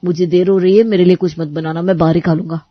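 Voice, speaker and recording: A curt young husband in a rush, voiced by a woman. The voice is husky and a little low. It comes through a dry, somewhat dull recording. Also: fairly even intonation, quick pace, no echo, natural voice.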